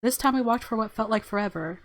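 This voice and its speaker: A woman's voice: fairly high pitch, quick pace, no echo. A woman game streamer reading a visual novel aloud and reacting casually. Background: faint game music.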